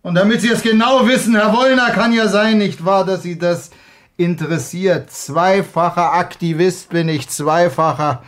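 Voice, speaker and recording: An actor playing a jealous, bitter young husband in a radio play, sarcastic and sneering. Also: male voice, high-pitched, normal speed, fairly bright tone. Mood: drunken, blustering. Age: young adult. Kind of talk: German radio play acting.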